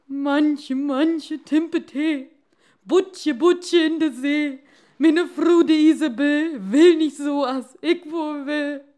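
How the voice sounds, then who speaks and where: Storybook fisherman, played by a female reader: meek henpecked husband, slow, hesitant, pleading. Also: sits high, steady tone, warm tone, pitched above the performer's own voice. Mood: anxious calling.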